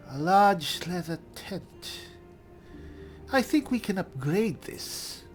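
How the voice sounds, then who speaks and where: A man voicing a cool, dry high elf spellsword, musing formally as he roleplays through a fantasy game. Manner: measured, formal, thinking aloud.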